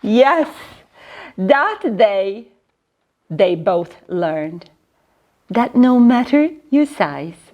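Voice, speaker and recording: A woman's voice: mid-range voice, breathy edge. A woman reading a children's storybook aloud dramatically, speaking directly to young listeners. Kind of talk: expressive storybook read-aloud.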